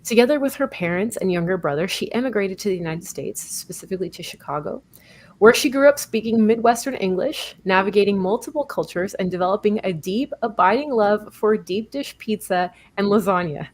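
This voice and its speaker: A woman's voice: medium pitch, expressive, a little fast. A woman hosting a live online talk show, earnest and explanatory, introducing her co-host and guest over a video call. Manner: earnest, explanatory, with some fillers and self-corrections.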